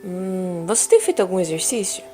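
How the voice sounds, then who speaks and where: A woman acting a calm therapist in an audio drama, asking gentle probing questions over music. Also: fairly low pitch, brisk.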